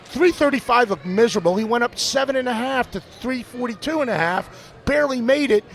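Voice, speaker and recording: A man's voice: very high-pitched, animated, a little fast, a bit bright, a little hiss or hum. A man doing live sports commentary, blunt and opinionated, often repeating words as he thinks. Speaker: lead commentator.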